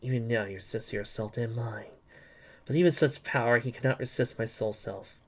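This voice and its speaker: A man voicing a solemn, empathic, mystical female superhero in a measured way. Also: mid-range voice, lightly acted. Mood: pained resolve.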